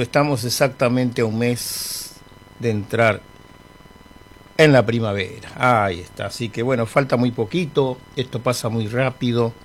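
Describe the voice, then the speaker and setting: A middle-aged man with an Argentine accent, hosting a radio music show in a relaxed, rambling way between songs, with bits of humour. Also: medium pitch, a little hiss or hum. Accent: Argentine Spanish. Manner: chatty, rambling, friendly with humour. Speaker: radio host. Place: radio studio.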